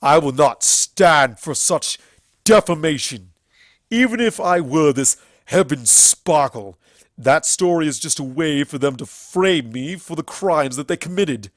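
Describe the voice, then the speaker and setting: A narrator voicing an angry, deluded male alicorn stallion in a story reading, shouting and incredulous. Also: mid-range voice, expressive, measured pace, dry recording, pitched above the performer's own voice, lightly acted. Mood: indignant shouting.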